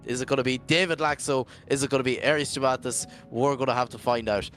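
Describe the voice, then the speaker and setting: A Scottish man commentating a virtual drift event in a jokey, rambling way, his voice worn and going hoarse. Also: medium pitch, fairly even intonation, fast, a bit bright, soft background music. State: losing his voice. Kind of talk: live esports commentary.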